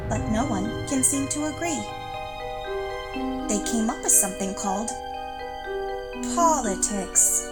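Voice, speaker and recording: A woman playing an earnest, dismayed alien underling in a satirical sci-fi audio play, with a deliberate, dramatic delivery. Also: on the high side, lightly acted. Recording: loud music behind the voice.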